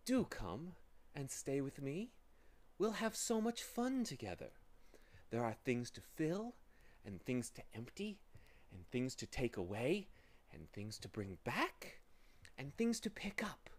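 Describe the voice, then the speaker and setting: A man's voice: medium pitch, very animated, slow pace, voice pushed up. Man putting on a theatrical villainous storybook demon. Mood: sly coaxing. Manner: theatrical, menacing, falsely coaxing. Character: villainous, faceless male demon of petty tasks, sour and gloating. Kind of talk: storybook character voice.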